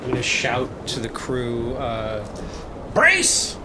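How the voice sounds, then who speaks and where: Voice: medium pitch, wildly swooping pitch, slow pace, very noisy. A tabletop game player reacting casually in short remarks.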